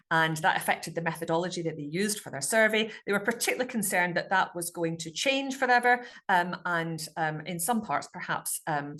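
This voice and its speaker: A woman presents her research in an online talk over a video call. Her tone is bright and forward, and her pitch sits a little low. She hesitates constantly, putting an "um" in front of nearly every phrase. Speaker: university dialect researcher giving a talk. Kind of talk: online presentation to a local society.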